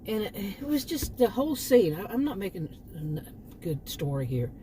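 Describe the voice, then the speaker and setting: A woman's voice: mid-range voice, very animated, normal speed, warm tone, a little hiss or hum. A woman from Texas with a Texan accent, talking casually to her channel and rambling through restarts and asides. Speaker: channel host. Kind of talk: casual monologue.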